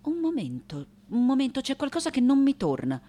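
An actress playing an exasperated, grumbling woman interpreter with an Italian accent, hurried and muttering weary asides in a radio play. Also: high voice, wildly swooping pitch, dark tone, full character voice. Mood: puzzled.

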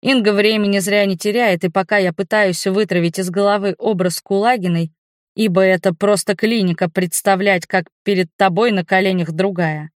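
A woman's voice: medium pitch, steady tone, normal speed, no echo, clean studio sound. A woman giving a dramatic first-person narration of a novel as an audiobook reader.

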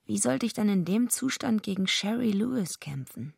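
Creaky-voiced, naive tough young wrestler. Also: female voice, medium pitch, animated, normal speed, dry recording, clean studio sound, full character voice. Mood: rueful, grumbling. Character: naive, tough young female wrestler narrating her misadventures. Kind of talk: radio drama acting and first-person narration.

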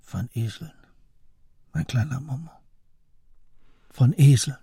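A man in a radio play playing a kindly, stubborn elderly shopkeeper with a wry, deliberate manner. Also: medium pitch, vocal fry, warm tone, slightly rough, full character voice. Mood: sheepish, subdued. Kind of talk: German radio play acting.

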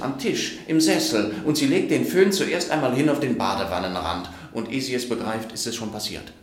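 An actor playing an assured, matter-of-fact doctor in a radio play, explaining things in a measured, dry and slightly pedantic way. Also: male voice, medium pitch, natural voice. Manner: measured, explanatory, dryly precise. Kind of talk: German radio play acting. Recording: roomy, echoing interior acoustic.

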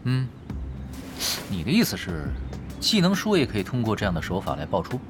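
An actor playing a cool, sardonic younger pro gamer in a Chinese audio drama, with a dry, teasing manner. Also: male voice, on the low side, huge pitch swings, fairly quick, over music. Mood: skeptical, teasing.

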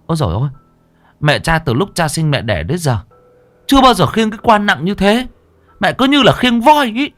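A man's voice: on the high side, fairly even intonation, very slow, soft background music, pitched above the performer's own voice. A man voicing panicked young village men who stammer, wail and complain under strain in a horror story. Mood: exhausted.

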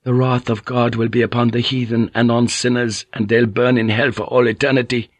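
A man's voice: medium pitch, little pitch movement, brisk, dry recording, close to an everyday voice. A man voicing a pious young merchant adventurer in an audiobook, preaching with fervent confidence. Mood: wrathful.

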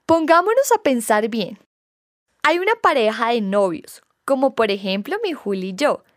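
High-pitched, opinionated Colombian girlfriend played by a woman. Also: dry recording, studio quality. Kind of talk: scripted radio sketch.

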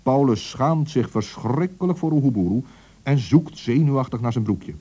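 Lively man narrating a children's story. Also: mid-range voice, normal speed, husky.

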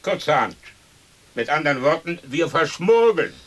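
Contrary scornful gentleman, sharp tone, theatrical drawl, radio play, distant old recording with faint clicks. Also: on the high side, sing-song. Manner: sardonic, drawn-out theatrical delivery. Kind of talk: stereo radio play acting.

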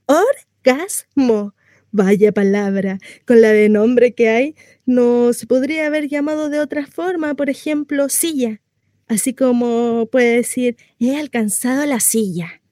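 A woman performs a naive, wryly comic housewife confiding in a theatrical monologue. Her voice sits a little high and on the mellow side. She rambles dramatically and interrupts herself, recorded close and dry. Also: no echo, lightly acted.

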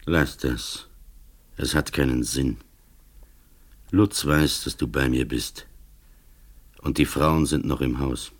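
An old police commissioner in a radio drama, with an extremely deep voice. He speaks slowly and gravely, like a relentless judge passing sentence. He is ailing yet implacable. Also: full character voice. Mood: weary, stern. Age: older. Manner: slow, grave, accusing, relentless. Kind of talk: radio play acting.

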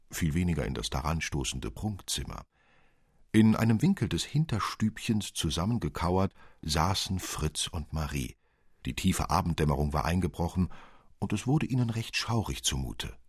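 Very deep, husky male storyteller. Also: no echo, clean studio sound. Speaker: narrator. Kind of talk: literary fairy-tale audiobook narration.

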